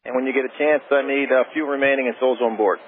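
A man's voice: medium pitch, fairly even intonation, fast talker. A man working as a departure controller, giving polite, procedural instructions over radio. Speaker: departure air traffic controller. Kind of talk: air traffic radio instructions. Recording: tinny radio with clear static.

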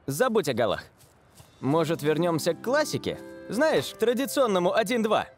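An actor voicing a supportive, easygoing young footballer in a cartoon, casual in manner. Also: male voice, high voice, big pitch swings, normal speed, soft background music. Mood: encouraging. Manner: encouraging, casual.

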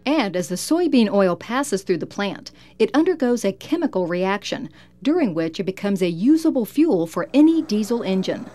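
A woman narrating a farm feature report in a bright, upbeat scripted style. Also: mid-range voice, very animated, normal speed. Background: soft music.

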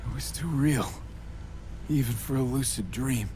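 An actor playing a weary, wounded young mercenary in a video game, talking in terse, blunt, halting street language. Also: male voice, medium pitch, background noise, close to an everyday voice. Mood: haunted, bitter. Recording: music behind the voice.

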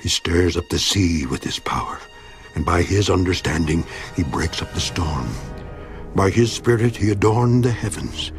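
A man's voice: sits low, animated, moderate pace, faint music behind. A man voicing Job, an aged, suffering but steadfast man, in a dramatized Bible reading with solemn, declamatory delivery. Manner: solemn, declamatory, rising and falling emphasis. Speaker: voice actor in a Bible audio drama.

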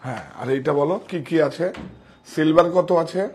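A man's voice: a little high, normal speed, a little mellow, a little hiss or hum. A man, giving a casual, emphatic sales demonstration as a shop owner, often repeating himself, on a clip-on mic indoors. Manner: emphatic, repetitive. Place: indoor saree shop showroom. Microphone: wireless clip-on mic on chest.

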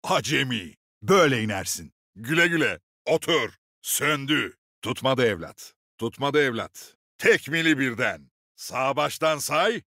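An actor playing a gruff, middle-aged veteran commander in a video game, barking short, authoritative orders with dry swagger. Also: male voice, medium pitch, lively intonation, a little slow, pushed and bright, no room sound. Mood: tough, boastful. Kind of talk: video game voice lines, short military barks.

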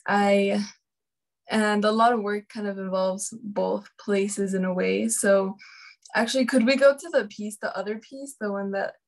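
A woman's voice: mid-range voice, fairly even intonation, unhurried. A woman artist from Mexico City, chatting casually on a video call and slipping between Spanish and English. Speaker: exhibiting artist.

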